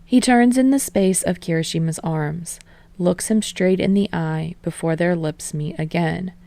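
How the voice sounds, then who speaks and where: A woman narrating a fan-fiction story aloud, in an even, casual reading voice. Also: fairly low pitch, measured pace, vocal fry, no echo. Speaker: podfic reader.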